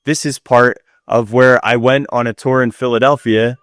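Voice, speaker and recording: A man's voice: mid-range voice, steady tone, moderate pace, clear, resonant tone, no room sound, clean studio sound. A man co-hosting a podcast in casual chat, with an informal, wryly griping manner. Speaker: podcast co-host.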